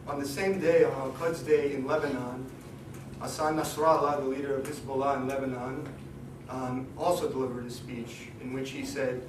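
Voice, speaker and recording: Hoarse male lecturer, flat delivery, halting; recorded from afar in an echoey room with a noisy background. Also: fairly high pitch, recorded from a distance. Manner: hesitant, many ums and restarts. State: losing his voice. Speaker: guest lecturer. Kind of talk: academic seminar talk.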